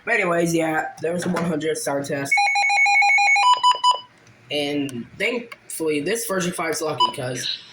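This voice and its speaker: Clear noise and small clicks sit around the voice in this homemade recording. The speaker is a man with a fairly high voice. He presents in an informal hobbyist show-and-tell style, halting as he goes and letting phrases trail away. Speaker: hobbyist video creator. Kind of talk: casual show-and-tell narration. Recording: noisy home video with clicks.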